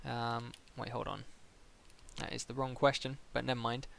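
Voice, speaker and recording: A man with a creaky, gravelly voice at an average pitch hosts a casual streamed interview. He puts his questions informally, in loose phrasing. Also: little pitch movement, moderate pace, fairly bright tone.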